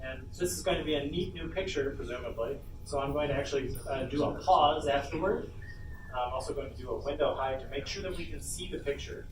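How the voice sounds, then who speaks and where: A man presenting a live software tutorial informally, haltingly talking through each step as he does it. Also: medium pitch. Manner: informal, halting narration of own steps. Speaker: workshop presenter, coder. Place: indoor convention panel room. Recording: roomy, distant, noisy panel room recording.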